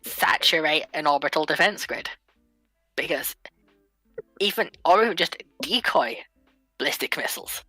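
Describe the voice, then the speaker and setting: A woman with a deep, forceful voice argues her side in a casual livestream debate. She counters point by point, sounding dry and close, with music behind her. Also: expressive, moderate pace, long pauses, no room sound, low-quality recording. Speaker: livestream panelist.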